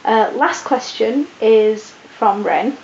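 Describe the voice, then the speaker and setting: A sleep-deprived young woman with a put-on posh British accent, chatting casually and rambling through viewer questions with a croaky voice. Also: on the high side, slow pace, a little mellow. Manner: rambling, chatty, with many ums and restarts. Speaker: vlogger answering viewer questions. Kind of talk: casual Q&A chat. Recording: echoey room, dull lo-fi audio with faint hiss.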